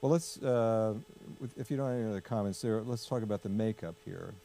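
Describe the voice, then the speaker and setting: A man's voice: fairly low pitch, a little fast, gravelly, mellow. A man hosting a casual political discussion, chatty and full of fillers, steering with questions. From United States. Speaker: host.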